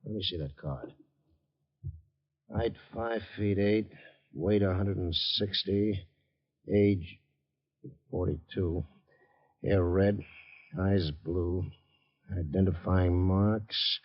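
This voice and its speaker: An actor playing a gruff, impatient police sergeant in a 1950s radio drama, brusque and demanding. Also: male voice, low voice, steady tone, extremely slow, pauses often, exaggerated voice. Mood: reading records. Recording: dull old radio recording.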